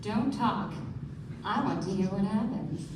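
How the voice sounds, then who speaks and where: A woman's voice: mid-range voice, measured pace, distant mic, lightly acted. A woman playing a cool, gossipy young sportswoman with a theatrical drawl in a live radio play. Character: cool, gossipy young female golf champion. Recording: echoey stage recording from afar, noisy.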